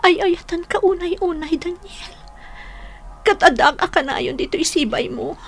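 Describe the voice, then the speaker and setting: Devoted young ilocano girlfriend in a radio drama, high pleading voice, slow and tearful. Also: a little hiss or hum. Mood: tender, devoted. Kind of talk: Filipino radio drama acting.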